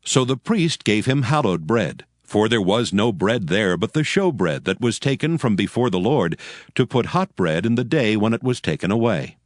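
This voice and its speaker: Solemn, expressive low-voiced male narrator. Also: normal speed, no room sound, studio quality. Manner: solemn, storytelling. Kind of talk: scripted dramatic scripture narration. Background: music.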